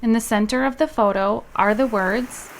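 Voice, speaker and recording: Woman audio describer, plain and steady, reads out picture descriptions. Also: on the high side, normal speed, a little hiss or hum. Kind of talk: plain descriptive narration of photographs.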